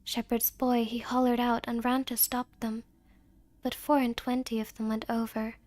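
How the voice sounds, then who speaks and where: A woman with a high voice reads a story in ASMR style. She takes her time and pronounces each word with care. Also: level tone, darkish tone, no echo. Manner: slow careful pronunciation when reading. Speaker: VTuber ASMR performer. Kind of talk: ASMR storytelling and playful chat.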